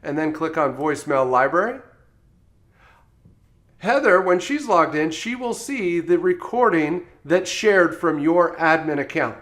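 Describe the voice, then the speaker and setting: A man presenting software training in a step-by-step instructional tutorial style, as a voice-over. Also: a little high, animated, measured pace. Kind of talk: scripted tutorial narration.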